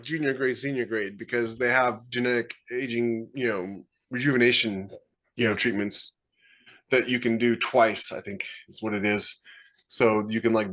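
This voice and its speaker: A man's voice: lowish voice, steady tone, moderate pace, a bit bright. A middle-aged man, a podcast co-host and author, chatting casually on a video call from home with loose, trailing asides. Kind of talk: casual chat. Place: plain small room at home.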